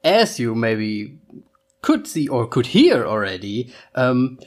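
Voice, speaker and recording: A man's voice: mid-range voice, sing-song, slow pace. A man in his thirties with a German accent, co-hosting a casual couple podcast in English and now and then searching for words. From Austria, raised in Germany. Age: 30s. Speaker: podcast co-host.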